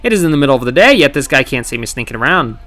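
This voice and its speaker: A man narrating his own gameplay challenge in scripted voice-over, wry and sarcastic with playful dramatic pauses. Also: medium pitch, very animated, fairly bright tone. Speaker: gaming channel host. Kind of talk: scripted comedic game commentary narration.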